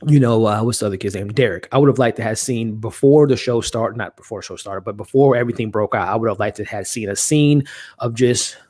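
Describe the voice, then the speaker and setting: A man's voice: fairly low pitch, quick pace, a little mellow, dry recording, clean studio sound. Nasally man rambling on a live stream. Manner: rambling, many restarts and fillers. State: nasally from allergies. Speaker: host of a live watch-along stream. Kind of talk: casual live-stream commentary, reading chat.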